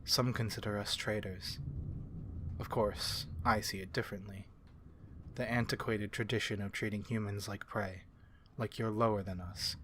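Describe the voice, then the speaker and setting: A man voicing a courteous, learned vampire in an ASMR fantasy roleplay, speaking formally and with measured, archaic phrasing. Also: lowish voice, a bit bright, a little hiss or hum, lightly acted. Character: courteous, learned, human-friendly male vampire curse-breaker.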